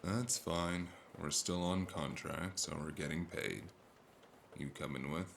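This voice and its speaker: A man playing a tall, weary, cranky investigator in a cyberpunk noir audio drama, grumbling with dry sarcasm in a gravelly voice. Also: deep voice, slow, slight background noise, heavily acted.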